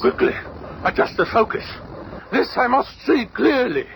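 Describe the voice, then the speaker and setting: A demanding alien emperor with an extremely high, gravelly creak. He is haughty and theatrical, a radio-play tyrant heard through a noisy old recording. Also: very animated, normal speed, a bit bright, full character voice. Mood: impatient scorn. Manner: imperious, theatrical. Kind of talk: 1970s superhero radio drama acting.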